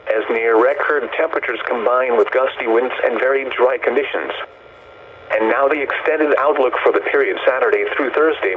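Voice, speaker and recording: A narrow, tinny radio signal with faint hiss carries a synthetic man's voice of medium pitch. He reads scripted forecasts as an announcer, with impersonal, carefully segmented phrasing. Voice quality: synthetic text-to-speech voice. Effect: weather radio broadcast. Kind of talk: scripted weather bulletin read-out.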